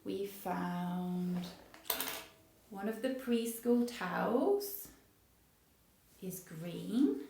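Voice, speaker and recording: A woman's voice: mid-range voice, expressive, extremely slow, gravelly, long pauses, noisy background. A woman reading a story and chatting to young viewers in simple, child-directed phrases. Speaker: playgroup story reader and presenter.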